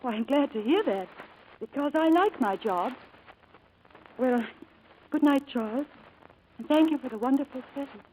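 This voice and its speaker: Poised, devoted society wife in vintage radio drama: soft-toned, slightly high, restrained, wistful. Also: female voice, animated, normal speed, pauses often, slight background noise, poor audio. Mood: guarded, restrained. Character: poised, devoted secretary turned society wife, quietly lovelorn. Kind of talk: 1940s radio drama acting and narration. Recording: vintage radio broadcast with music.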